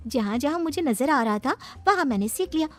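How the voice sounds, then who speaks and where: A woman's voice: high voice, expressive, a little fast, noisy background. A woman voicing a coy, cheeky young bride in a cartoon, with a mock-innocent manner. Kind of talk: scripted Hindi cartoon dialogue. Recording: music behind the voice.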